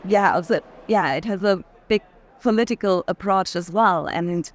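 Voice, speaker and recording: A woman in casual, reflective conversation about art, speaking haltingly with plenty of filler "like". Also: medium pitch. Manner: halting, frequent use of "like". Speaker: curator in conversation. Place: art fair. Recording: noisy fair hall ambience.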